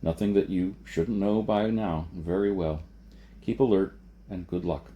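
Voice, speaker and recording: A man voicing a gruff, terse military instructor in a science fiction audiobook, brisk and grudging. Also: low voice, lo-fi sound. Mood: terse, cautioning.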